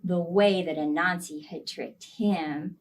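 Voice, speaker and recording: A woman, a children's librarian, telling a story interactively in an expressive, playful manner. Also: on the low side, slow pace, far from the mic.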